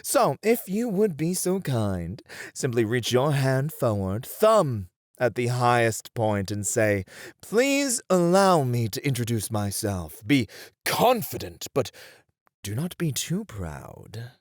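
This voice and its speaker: Man acting as gentleman etiquette tutor, formal, playful, encouraging, slow measured pace, very animated intonation, ASMR roleplay. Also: mid-range voice, no room sound, clean studio sound. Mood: encouraging, instructive. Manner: formal, theatrical, encouraging, elaborately polite. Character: courtly, playful male etiquette tutor at a ballroom.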